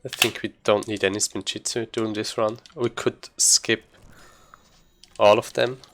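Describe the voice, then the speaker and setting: A man giving casual live commentary as he plays a game, haltingly thinking aloud. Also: fairly low pitch, flat delivery, fairly bright tone. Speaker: speedrunner commentating gameplay.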